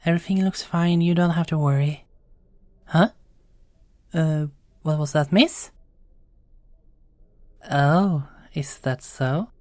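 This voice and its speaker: Woman acting a low-voiced, gentle nurse. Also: slow, long pauses, no echo. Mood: reassuring. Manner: gentle, polite, soothing. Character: kind, reassuring female nurse at a patient's bedside. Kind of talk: audio roleplay acting.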